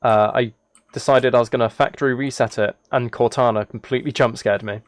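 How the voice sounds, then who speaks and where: A man chatting casually as a live game streamer, musing aloud in a relaxed, low-key way. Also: a little fast, slight background noise. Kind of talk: casual chat over Minecraft gameplay.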